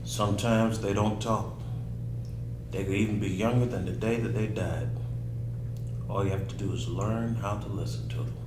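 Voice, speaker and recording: A man reading a wise, steady uncle in a screenplay table read, giving measured, matter-of-fact counsel. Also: lowish voice, recorded from a distance, close to an everyday voice. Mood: reflective. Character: wise, steady uncle, calm and firmly advising. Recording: echoey room with clear background noise.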